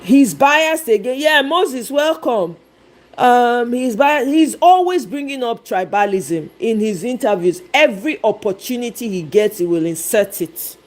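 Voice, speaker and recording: A woman's voice: fairly high pitch, lively intonation, unhurried. A woman with a Nigerian accent hosting a live stream with casual, exclamatory commentary, dropping in bits of Pidgin.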